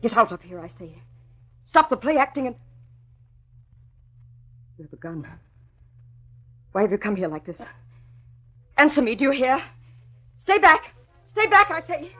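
A woman's voice: high-pitched, sing-song, a little slow, long pauses, sharp tone, exaggerated voice. An actress playing a haughty, domineering middle-aged society mother with an imperious, sarcastic way of talking, in a vintage radio drama. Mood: terrified. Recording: muffled old radio recording.

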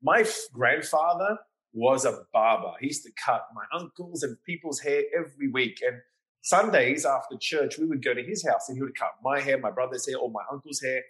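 A man's voice: mid-range voice, a bit bright, rough recording. A man with an Australian accent, a hairdresser guest telling stories in a casual interview, often adding "you know".

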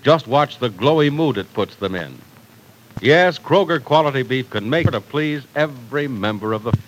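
A man's voice: animated, moderate pace, slight background noise, low-quality recording. A man announcing and selling for a 1940s radio drama, upbeat and persuasive. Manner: upbeat, persuasive sales pitch.

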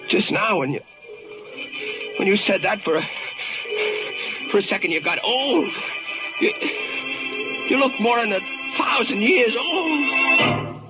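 A bitter, grieving ambulance driver in a vintage radio play. His voice is high and piercing and swings widely. The speech is rough, slangy and halting, on a muffled old recording. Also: bright, forceful tone, full character voice. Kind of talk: 1940s radio drama acting.

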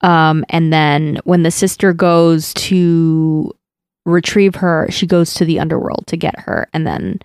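A podcast co-host chatting casually, relaxed and explanatory. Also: medium pitch, a little slow, smooth, dry recording, studio quality. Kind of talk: casual chat.

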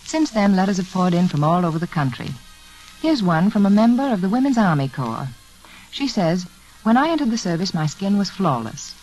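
A woman's voice: mid-range voice, big pitch swings, normal speed, a little mellow, soft background music. A woman hostess delivering a scripted 1940s radio advertisement. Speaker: sponsor's hostess. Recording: faintly noisy vintage radio recording.

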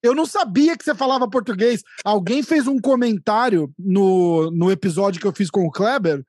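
A man with a Paulista accent hosts a relaxed interview, talking in a chatty, enthusiastic way full of slang. His voice sits high for a man and sounds dry and close, with no room echo. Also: moderate pace. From São Paulo, Brazil. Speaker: host. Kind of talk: casual interview chat.

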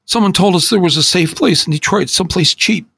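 A man's voice: high-pitched, measured pace, husky, voice pushed up, full character voice. Man doing a sobbing panicked teenage boy. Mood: sobbing, pleading. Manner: stammering, pleading. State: crying. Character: panicked fifteen-year-old boy, sobbing.

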